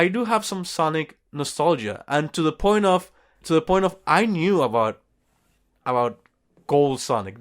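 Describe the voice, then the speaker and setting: A man co-hosting a film review podcast in casual conversation, repeating phrases for emphasis and swearing offhandedly. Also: fairly high pitch. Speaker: podcast co-host reviewing films. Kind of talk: casual chat.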